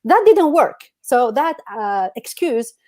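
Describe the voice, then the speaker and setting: A woman's voice: high-pitched, big pitch swings, slow, a little mellow. A woman co-hosting a podcast, telling personal stories in a casual, emphatic, conversational way full of "you know". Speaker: podcast co-host.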